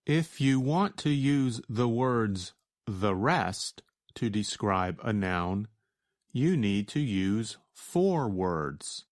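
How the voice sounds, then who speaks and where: An American man with a fairly low voice teaches from a script. He speaks very slowly and deliberately, explaining each point in a measured way. The sound is dry, with hardly any room. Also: animated, dry recording. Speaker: teacher answering a listener's question. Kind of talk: slow scripted educational narration.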